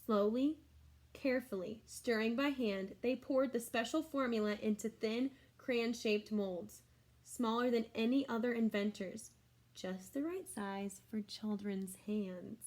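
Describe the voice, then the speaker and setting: A woman's voice: on the high side, unhurried, a little mellow. A woman reading a children's book aloud like a teacher, expressively, with exclamations and simple explanatory asides for young listeners.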